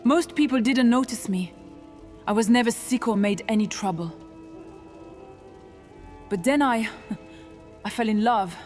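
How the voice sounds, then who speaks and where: A woman playing a gentle, sorrowful young witch who has lived as a boy, speaking in an earnest, measured, halting way. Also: a little high, faint music behind, close to an everyday voice. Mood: sorrowful confession.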